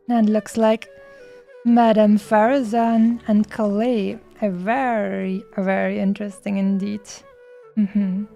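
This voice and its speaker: A woman game streamer giving casual, enthusiastic commentary in a rambling, hesitant way. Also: mid-range voice. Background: soft game music.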